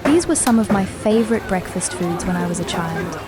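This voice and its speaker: A young woman from Vietnam, narrating a travel vlog about her home village in a calm, measured, explanatory voice-over. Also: fairly low pitch, a loud crowd. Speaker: vlogger. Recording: voice-over with clear background music. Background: background music.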